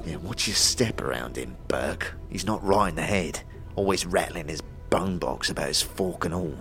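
A man's voice: low voice, normal speed, vocal fry, a bit bright, faint music behind. A man playing a grumpy, sarcastic innkeeper with brusque, slangy talk in a fantasy audio drama. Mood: grumbling, irritable. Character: gruff, grumpy male innkeeper guarding his business.

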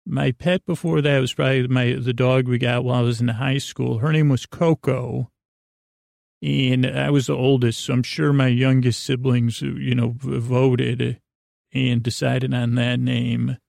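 A man hosting a bedtime podcast in a halting, digressive monologue, goofy and self-deprecating, with a creaky voice. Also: mid-range voice, little pitch movement, darkish tone, dry recording, clean studio sound. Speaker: podcast host.

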